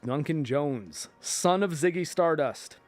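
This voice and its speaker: A man hosting a video, giving casual, rambling film and TV commentary in an informal, emphatic way, often halting mid-thought. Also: fairly high pitch, animated, soft background music. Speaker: video host.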